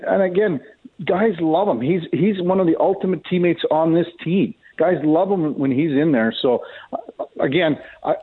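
A man's voice: on the high side, breathy edge. A man giving folksy, conversational opinions as a guest commentator in casual sports radio talk, heard over a tinny, narrow line.

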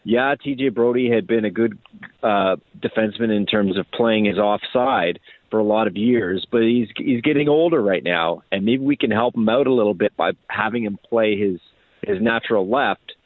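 Phone-line male hockey analyst, lowish voice. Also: moderate pace, no echo. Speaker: hockey reporter and guest analyst. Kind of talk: conversational sports analysis.

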